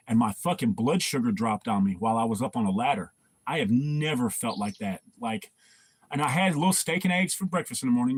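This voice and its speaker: A man's voice: mid-range voice, slightly rough, dry recording. A man hosting a casual livestream, laid-back and swearing, laughing often and greeting viewers by name. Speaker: livestream host.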